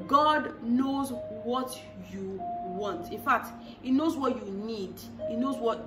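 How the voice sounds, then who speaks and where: A woman in her thirties talking to the camera, giving earnest faith-based relationship advice and repeating key phrases for emphasis. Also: mid-range voice. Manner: earnest, preachy, repeats phrases for emphasis, acts out quoted voices. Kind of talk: direct-to-camera advice talk. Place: small room against a plain wall. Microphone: camera in front, chest-up framing. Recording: roomy sound from a distant mic with music behind.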